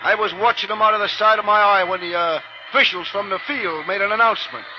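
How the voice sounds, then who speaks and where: An actor playing a folksy, wily small-town baseball manager in an old radio drama, husky and colloquial, with American speech. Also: male voice, high-pitched, quick pace, faint music behind, natural voice. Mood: wry storytelling. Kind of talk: old-time American radio acting, first-person narration. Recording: dull vintage radio broadcast.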